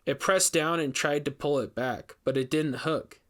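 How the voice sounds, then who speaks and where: A man playing an investigator who recounts a frightening ordeal in measured, emphatic, scripted first-person narration. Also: fairly high pitch, fairly even intonation, fairly bright tone, lightly acted. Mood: grim, suspenseful. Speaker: narrator reading a story.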